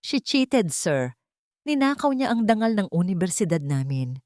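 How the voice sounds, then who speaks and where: A woman's voice: mid-range voice, sing-song, moderate pace, darkish tone, no room sound, studio quality, close to an everyday voice. A woman voicing a mocking, smugly polite music teacher who insinuates and slanders in an audiobook drama. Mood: smug, accusing. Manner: taunting, insinuating.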